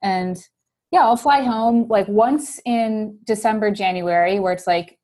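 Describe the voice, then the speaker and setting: A woman's voice: medium pitch, measured pace, darkish tone. Chatty young woman, Wisconsin accent. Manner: chatty, many "like" fillers. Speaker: guest. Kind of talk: casual podcast chat.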